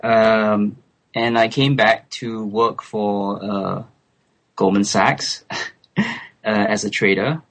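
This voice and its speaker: Junger Mann aus Singapur, tiefere Stimme. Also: male voice, lowish voice, fairly even intonation, slow pace, long pauses. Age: 20s. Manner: thoughtful, laughs softly, hesitant fillers. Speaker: guest. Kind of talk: relaxed interview chat. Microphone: remote call.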